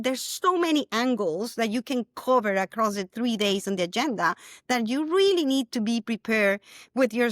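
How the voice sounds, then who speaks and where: A woman shares her views on a casual panel with earnest enthusiasm, often circling back and repeating words. Her pitch is an ordinary middle. The recording is dry and close. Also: a bit bright, no room sound. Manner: earnest, repeats words while searching for them. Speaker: panelist on content team.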